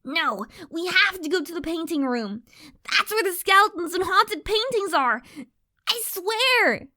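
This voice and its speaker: A woman's voice: very high voice, expressive, a little slow, pushed and bright, heavily acted. A woman voicing a young cartoon filly pony, frightened but earnest and insistent, in an audio drama. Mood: alarmed, insistent. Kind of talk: animated fan fiction audio drama acting.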